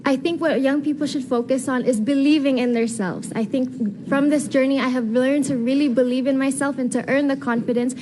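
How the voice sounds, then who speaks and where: A young woman with a Filipino accent answering pageant interview questions in an earnest, deliberate way, slipping in Tagalog phrases. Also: high voice, fairly even intonation. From Philippines. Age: young adult. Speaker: beauty pageant contestant. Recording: clearly noisy room ambience.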